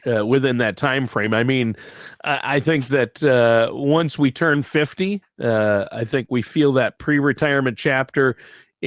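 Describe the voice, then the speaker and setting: A man's voice: mid-range voice, dry recording, clean studio sound. A man co-hosting a finance talk show, chatting casually and prompting questions. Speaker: co-host.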